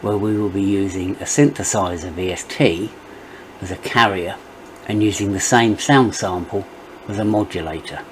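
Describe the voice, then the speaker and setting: Low-pitched man presenting a tutorial. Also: moderate pace, slight background noise, rough recording. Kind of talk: step-by-step software tutorial narration.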